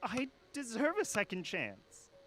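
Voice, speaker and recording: A man's voice: very high voice, wildly swooping pitch, unhurried, full character voice. A man playing a troubled, regretful mortal in a radio drama, speaking with earnest doubt and emotion. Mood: regretful pleading. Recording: voice under music.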